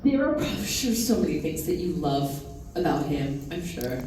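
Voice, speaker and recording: A woman's voice: deep voice, sing-song, normal speed. A woman moderating a convention panel, asking questions with gushing enthusiasm. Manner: enthusiastic, gushing, laughs easily. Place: large convention hall. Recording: echoey distant hall recording with noise.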